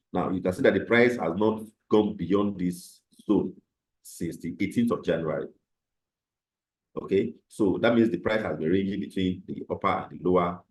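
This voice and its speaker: A man teaching a live online trading class, slightly hoarse from a cold, explaining step by step with fillers over a computer microphone. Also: deep voice, a little mellow, low-quality recording. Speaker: trading instructor.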